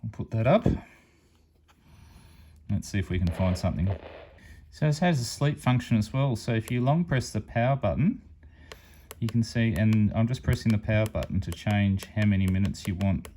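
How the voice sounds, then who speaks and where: A man's voice: on the low side, normal speed, warm tone, slight background noise. A man giving an informal, unscripted step-by-step product demonstration as a hobby reviewer.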